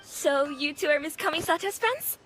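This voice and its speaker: A woman's voice: high-pitched, lively intonation, normal speed, a bit bright, a little hiss or hum. A woman voicing a kindly female restaurant owner in a video game, a polite hostess who explains things at length. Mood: friendly. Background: game music.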